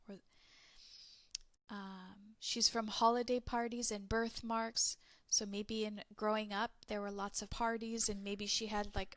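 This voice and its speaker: A woman teacher giving a recorded lesson to English learners, explaining slowly and clearly step by step. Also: mid-range voice, no room sound.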